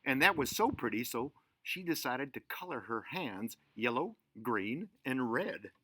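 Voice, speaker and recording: A man reading a children's story aloud in an expressive, theatrical way. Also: medium pitch, unhurried, breathy edge, dry recording, low-quality recording. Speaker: storyteller and show host.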